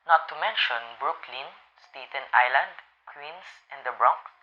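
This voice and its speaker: A man's voice: mid-range voice, unhurried, lightly acted. A young man playing an unconcerned, patronizing police sergeant in an amateur radio drama, dismissive and placating. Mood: skeptical. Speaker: student voice actor in a radio play. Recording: dull lo-fi sound, faint noise.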